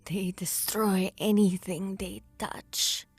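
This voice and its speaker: A woman voicing an eerie old woman who guards cursed portals, speaking in a slow, hushed, raspy whisper. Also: mid-range voice, little pitch movement, no room sound, faint music behind. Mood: ominous.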